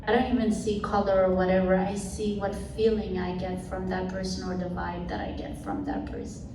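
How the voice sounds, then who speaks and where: A woman speaking slowly and earnestly as a panelist at a live event. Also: mid-range voice. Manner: slow, deliberate, earnest. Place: event hall. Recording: very echoey hall, far off, background noise.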